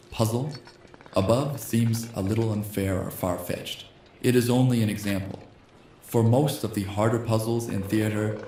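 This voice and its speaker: A slightly hoarse man hosting a gaming channel, reading a text adventure game aloud in a soft ASMR style and speaking the punctuation marks. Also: fairly low pitch, reverberant, a little hiss or hum. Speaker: host.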